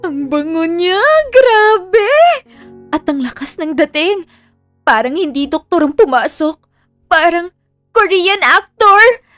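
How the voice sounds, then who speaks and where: A woman's voice: very high voice, expressive, slow, a bit bright, dry recording, faint music behind, exaggerated voice. A woman playing a starstruck, gushing female hospital staffer in an audio drama. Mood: swooning. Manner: breathless fangirl gushing, exclamatory. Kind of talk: Filipino audio drama acting.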